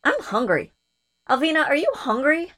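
A woman's voice: high-pitched, wildly swooping pitch, normal speed, bright, forceful tone, full character voice. A woman acting a drowsy, sensible, uneasy character trapped in a capsule in an audio drama, hesitant and full of exclamations. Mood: puzzled, hazy.

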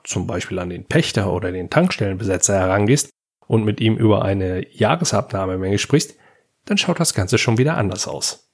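A man's voice: lowish voice, gravelly, breathy edge. A man from Germany hosting a podcast, delivering a scripted advice monologue that speaks directly to the listener. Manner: direct address to the listener, anecdotal. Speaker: podcast host.

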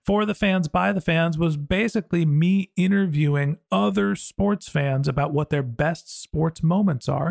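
A man's voice: on the high side, gravelly, a little mellow, no room sound, clean studio sound. A man hosting a podcast in a casual, conversational interview style, with an informal, self-deprecating way of talking. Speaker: podcast host.

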